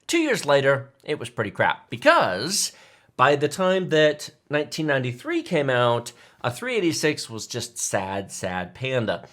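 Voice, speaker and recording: Animated man in his forties, storytelling. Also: medium pitch, unhurried. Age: 40s. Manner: animated, emphatic, enthusiastic. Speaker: tech show co-host. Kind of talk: casual live stream storytelling.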